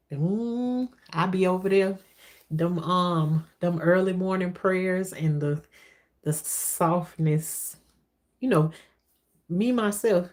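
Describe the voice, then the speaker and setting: A woman with a deep voice chatting casually as a livestream host, testifying and repeating phrases for emphasis.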